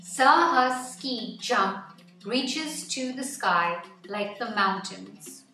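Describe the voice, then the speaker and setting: A woman reading a children's picture book aloud as scripted narration, with measured, deliberate phrasing. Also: on the high side, lively intonation, bright, forceful tone. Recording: roomy echo, distant mic, background music. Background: music.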